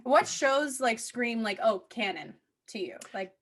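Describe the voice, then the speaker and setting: A woman's voice: on the high side, animated, measured pace. A woman hosting a podcast in casual chat, with an informal, conversational way of talking full of "like". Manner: informal, peppered with "like". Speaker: podcast host.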